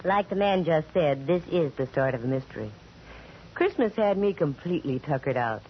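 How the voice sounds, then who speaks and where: A woman's voice: sits low, animated, normal speed, background noise. Wry girl detective, vintage radio. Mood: wry narrating. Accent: American. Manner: dry wisecracking, wry. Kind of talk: 1940s radio acting, first-person narration. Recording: muffled vintage 1940s radio broadcast.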